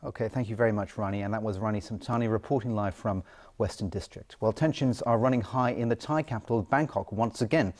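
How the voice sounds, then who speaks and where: A man's voice: on the low side, fast talker, darkish tone. A middle-aged man presenting scripted television news as a studio anchor.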